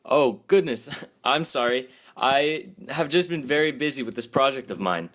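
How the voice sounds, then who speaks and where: A man's voice: medium pitch, normal speed, a bit bright, natural voice. A young man playing a polite young man who stammers when caught off guard, in a student radio play. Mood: flustered. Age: young adult.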